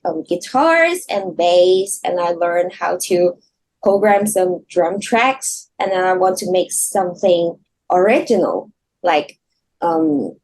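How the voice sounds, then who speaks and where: A woman from Hong Kong talking as an interview guest over a video call, soft-spoken and halting, often laughing. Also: mid-range voice, poor audio. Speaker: guest singer. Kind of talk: casual interview chat.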